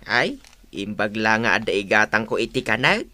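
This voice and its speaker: A man's voice: fairly low pitch, very animated, moderate pace, bright, forceful tone, dry recording, heavily acted. A man with an Ilocano accent playing a humble, plodding older farm labourer in a radio comedy drama.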